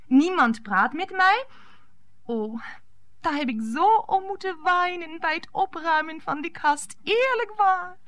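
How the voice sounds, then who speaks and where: Clingy lover, high, slow, sentimental. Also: female voice, animated, fairly bright tone, heavily acted. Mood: hurt, insistent. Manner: sentimental, plaintive, sprinkles in German words. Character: clingy, sentimental rival lover peppering speech with German. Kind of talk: radio play acting.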